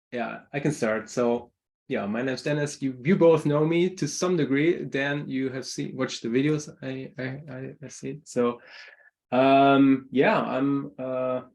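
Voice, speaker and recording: A man from Germany facilitating a video-call meeting, relaxed and hesitant with frequent ums. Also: mid-range voice, creaky. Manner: hesitant, many ums, light laughs. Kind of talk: casual online meeting talk.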